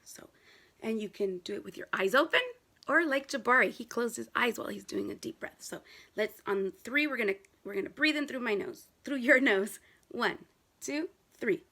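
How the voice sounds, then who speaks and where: High, bright-voiced woman reading to children. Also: normal speed. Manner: animated teacher-like prompting, repeats and emphasises key words. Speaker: read-aloud storyteller for young children. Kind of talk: interactive picture-book read-aloud with questions to children.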